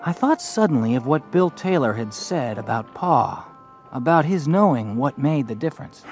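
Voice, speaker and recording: A man's voice: mid-range voice, a little mellow, husky. An actor playing an earnest fourteen-year-old boy in a western, telling his story in a plainspoken, hesitant, thoughtful way. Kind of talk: first-person dramatized audiobook narration and dialogue.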